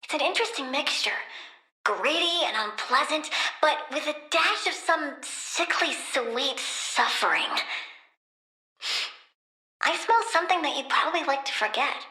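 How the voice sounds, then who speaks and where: A woman's voice: high voice, sharp tone, echoey room, heavily acted, low-quality recording. A woman voicing a young plant-powered girl in a video game, inquisitive, chatty, sassy and childishly blunt. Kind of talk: video game character dialogue.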